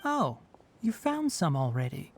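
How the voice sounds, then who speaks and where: A man playing a calm, caring young forest ranger scholar in an anime-style video game, speaking in a measured, articulate, explanatory way. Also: on the high side, gravelly, over loud music, full character voice. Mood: matter-of-fact.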